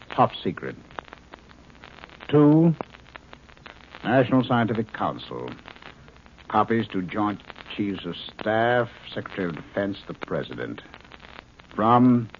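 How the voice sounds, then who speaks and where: A man's voice: on the low side, heavily acted. An actor playing a male scientist in charge of a secret base, dictating a clipped official letter in 1950s radio drama style. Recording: dull old radio recording, faint hiss.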